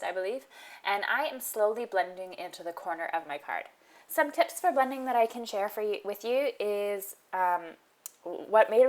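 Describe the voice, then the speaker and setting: Nasal woman with a cold, chatty. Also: fairly low pitch. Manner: chatty, explains each step, frequent ums. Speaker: card-making tutorial host. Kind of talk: casual crafting tutorial voice-over.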